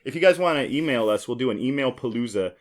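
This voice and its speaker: An American man in his forties chatting casually as a podcast host, jokey and given to excitable storytelling. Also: medium pitch, fast. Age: 40s. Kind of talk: casual board game banter.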